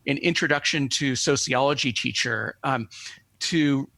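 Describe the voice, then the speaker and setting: Bright-voiced male academic, measured and halting. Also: medium pitch, vocal fry, no echo, poor audio. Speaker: academic guest, media historian. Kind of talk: thoughtful scholarly interview answers.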